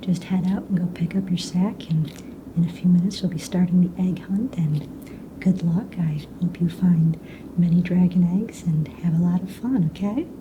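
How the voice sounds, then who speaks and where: A woman playing a calm, friendly mistress of ceremonies in a fantasy ASMR roleplay, explaining things in a soft, casual, slightly hesitant whisper. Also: moderate pace, highs cut off, close to an everyday voice. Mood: friendly, encouraging. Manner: casual, hesitant, explanatory, matter-of-fact about rules and danger. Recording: noisy close recording with low rumble.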